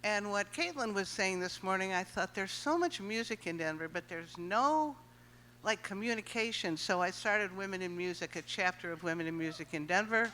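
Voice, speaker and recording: A woman from Chicago who works as a music supervisor speaks to a workshop crowd, energetic and encouraging. Her voice is bright, with a faint hum on the handheld mic. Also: mid-range voice, moderate pace.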